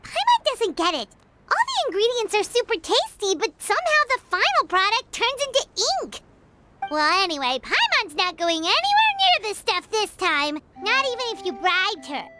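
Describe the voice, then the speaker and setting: A woman voicing a small, excitable, cheeky fairy companion with a squeaky childlike voice in a game. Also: normal speed, very noisy, heavily acted. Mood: puzzled, sulky. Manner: speaks of herself in the third person. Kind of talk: English game dub voice acting.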